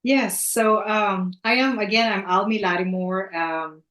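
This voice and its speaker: A middle-aged woman from the Philippines, giving an earnest personal testimony in a measured, sincere way. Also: mid-range voice, steady tone, a bit bright. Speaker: guest, elementary teacher. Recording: echoey room.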